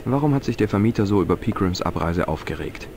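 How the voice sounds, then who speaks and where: An actor playing a polite, inquisitive young tourist hero in an adventure game, asking his questions clearly and courteously. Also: fairly low pitch, expressive, fast, noisy background. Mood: curious. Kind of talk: dubbed adventure game dialogue. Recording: music behind the voice. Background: game music.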